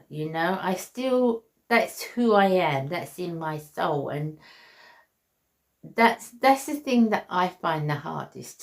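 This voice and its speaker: A woman's voice: fairly low pitch, creaky. A woman, chatting earnestly to her viewers, with lots of ums and restarts. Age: 60s. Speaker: beauty vlogger. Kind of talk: heartfelt casual vlog chat. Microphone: basic camera mic.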